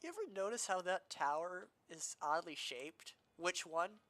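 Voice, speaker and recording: A young male streamer thinks out loud while playing, restarting sentences and poking fun at himself. His voice sits a bit high and comes across bright and forward. Allergies leave it slightly nasal. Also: sing-song, measured pace. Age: young adult. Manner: rambling, self-deprecating, lots of fillers and restarts. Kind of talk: casual gaming stream chatter.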